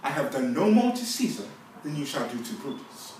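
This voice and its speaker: A man's voice: mid-range voice, big pitch swings, fairly quick, noisy background, close to an everyday voice. A man performing a classical stage monologue as a proud, solemn Roman nobleman, with declamatory, emphatic delivery. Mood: defiant challenge. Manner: declamatory, emphatic rhetorical delivery with big swells. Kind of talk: classical theatrical monologue, Shakespearean oratory. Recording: very echoey room, distant microphone.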